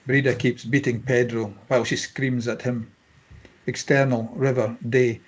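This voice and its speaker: A man with a husky voice reading scene headings and stage directions as scripted narration at a table read. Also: mid-range voice, flat delivery, measured pace, low-quality recording.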